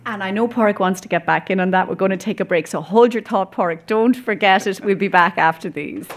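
A woman hosting a live radio panel from a hotel restaurant studio, brisk and upbeat as she steers her guests. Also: mid-range voice. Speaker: radio talk show host.

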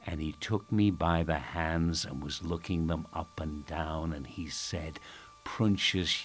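Pompous, low-voiced Irish radio host. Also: male voice, vocal fry, a bit bright, slight background noise, heavily acted. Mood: reverent storytelling. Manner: pompous, theatrical storytelling. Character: self-important, manipulative male radio host and politician. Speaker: actor in an audio drama. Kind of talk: audio drama acting as a radio host.

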